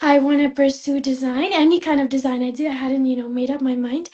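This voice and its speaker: High-voiced young woman from Spain. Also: fairly even intonation, a little fast, muffled recording. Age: young adult. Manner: soft-spoken, many fillers and restarts. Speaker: guest, fashion student. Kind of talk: casual interview chat.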